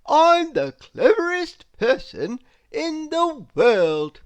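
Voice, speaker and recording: A woman voicing a boastful, self-satisfied man from a children's storybook, bragging in a drawn-out, emphatic way. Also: high-pitched, very animated, pitched above the performer's own voice, exaggerated voice. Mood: proud.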